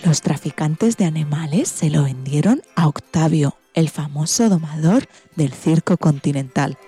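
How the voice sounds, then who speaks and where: A woman's voice: sits low, a little slow, dark tone, soft background music. A woman with an accent from Spain, hosting and narrating a children's story from a script. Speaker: podcast host and storyteller.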